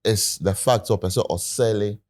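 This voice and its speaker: A man's voice: fairly low pitch, moderate pace, a little mellow. A man with a Ghanaian accent hosting a talk show, presenting stories in a casual, chatty way.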